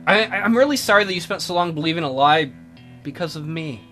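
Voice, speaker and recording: A man voicing an awkward, apologetic male schoolteacher from a visual novel in a hesitant, halting way. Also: a little high, expressive, pushed and bright. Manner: hesitant, halting, casually read. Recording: music behind the voice.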